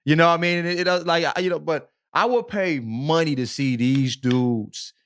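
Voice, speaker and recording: A man's voice: fairly high pitch, normal speed, fairly bright tone, clear, resonant tone. Casual, slangy man on a podcast. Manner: repetitive, emphatic, slangy, peppered with check-in tags. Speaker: podcast co-host. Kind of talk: casual opinionated podcast chat.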